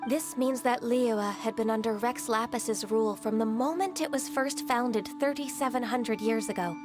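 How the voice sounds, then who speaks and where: Woman playing a gentle, high-voiced formal secretary. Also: moderate pace, over music, close to an everyday voice. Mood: solemn. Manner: polite, hesitant, formal phrasing. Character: gentle, formal half-human adeptus secretary, a dutiful woman. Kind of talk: video game voice acting.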